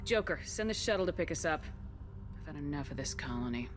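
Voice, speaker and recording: A woman's voice: sits low, animated, fast, over music. A woman playing a resolute military commander, firm, controlled and serious, in a dramatic video game. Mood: weary, steady.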